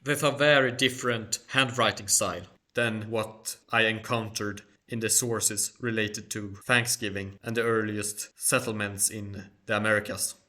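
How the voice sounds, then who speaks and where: Channel host, male, Swedish first language; formal, careful delivery, forceful bright timbre, mid pitch. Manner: measured, formal phrasing. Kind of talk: solo Q&A monologue.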